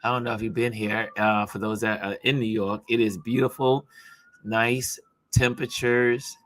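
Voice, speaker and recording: A man hosting a casual livestream chat in a chatty way, his voice hoarse from talking. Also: fairly low pitch, little pitch movement, normal speed, a bit bright, dry recording. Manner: chatty, greets viewers by name. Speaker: talk show host.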